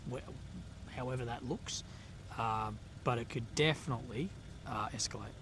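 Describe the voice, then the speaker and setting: A man hosting a casual live stream, reading out chat questions and answering bluntly, with frequent fillers and the odd swear word. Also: medium pitch. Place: outdoors in a conflict zone. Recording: noisy outdoor live stream.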